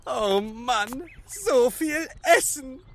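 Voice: high voice, expressive, very slow, slight background noise, full character voice. An actor playing an easygoing, sturdy boy who loves food in a children's audio drama. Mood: delighted.

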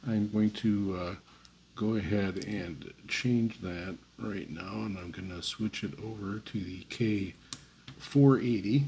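A man giving an unscripted hands-on demonstration as host, in a halting, hesitant way. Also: fairly low pitch, a little mellow, slight background noise. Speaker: host demonstrating radio antennas. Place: indoor room beside radio desk.

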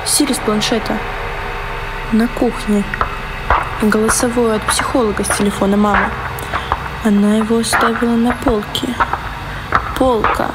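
A woman playing an unsettled teenage schoolgirl muttering to herself, haltingly thinking aloud in an audio drama. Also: a little high, flat delivery, heavy background noise, lightly acted. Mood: puzzled, searching. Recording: loud music over the voice.

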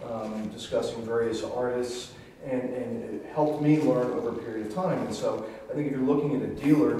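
A man on a panel speaking in a measured, reflective way. He is heard from across a strongly echoing room, with a clear hiss in the background. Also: mid-range voice. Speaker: print collector on a panel. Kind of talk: casual panel discussion. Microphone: far camera. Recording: echoey room, far off, audible hiss.

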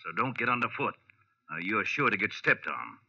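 Hard, forceful Western tough guy. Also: fairly low pitch, sing-song, moderate pace, creaky, breathy edge, full character voice. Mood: menacing. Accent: American. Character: grim, threatening Western gambling-joint owner with a pistol. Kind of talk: 1940s radio acting. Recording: muffled old-time radio recording.

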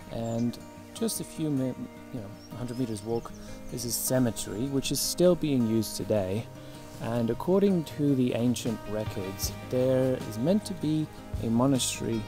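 Young guy doing casual vlog narration, lots of fry in his voice, music behind him. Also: medium pitch. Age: young adult. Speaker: vlogger.

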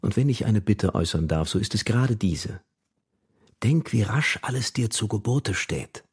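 A man playing a stern, patronizing poet and mentor, reading a letter gravely and deliberately for a radio feature. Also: on the low side, animated. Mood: stern, admonishing. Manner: grave, admonishing, measured.

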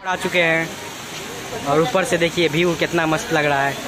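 Young man, excited vlogger, outdoors, phone mic, noisy background. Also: fairly high pitch, moderate pace. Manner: excited, chatty tour-guide talk. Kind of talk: casual travel vlog. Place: outdoor water park. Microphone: phone held by hand. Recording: phone recording with clear background noise.

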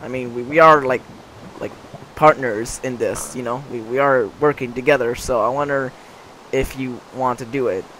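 Male channel host, mid voice, halting delivery, faint background hiss. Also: mid-range voice, steady tone, a bit bright, low-quality recording. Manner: hesitant, full of fillers and restarts. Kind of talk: casual scripted game-video dialogue.

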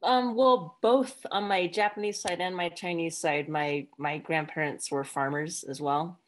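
Low-voiced young woman chatting casually. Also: steady tone, unhurried. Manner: casual, filler-heavy with frequent "like". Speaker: guest author. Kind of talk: casual conversation. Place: home room, indoors. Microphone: video call.

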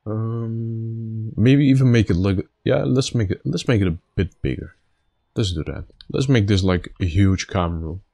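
A man with a slightly raspy, stuffed-up voice giving casual gameplay commentary, thinking aloud in a halting way. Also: on the low side, warm tone. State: stuffy nose after being sick. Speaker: gaming video host.

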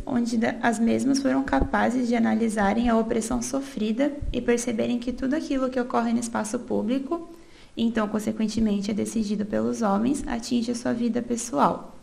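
Gravelly young Brazilian woman presenting research. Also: on the high side, mellow. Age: young adult. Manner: reads steadily from notes with small restarts and filler "é". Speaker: student presenter. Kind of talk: reading a research presentation over slides.

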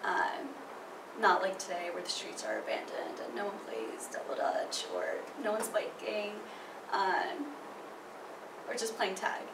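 A woman's voice: medium pitch, sing-song, unhurried. A young woman whose first language is not English, telling a childhood story in a chatty way with many ums. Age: young adult. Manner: chatty, many ums, laughs between phrases. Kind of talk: casual personal anecdote. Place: small indoor room by a theatre poster. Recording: roomy, distant, noisy.

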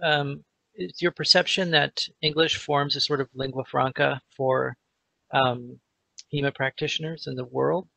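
A man hosting an interview over a video call, asking measured questions with pauses. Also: medium pitch, fairly even intonation, vocal fry, sharp tone, dull audio. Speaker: interview host.

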